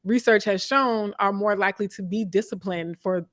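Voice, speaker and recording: A woman's voice: medium pitch, moderate pace, fairly bright tone. Reflective woman hosting a discussion. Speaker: host interviewing a guest. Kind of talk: thoughtful conversational discussion. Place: live online video call.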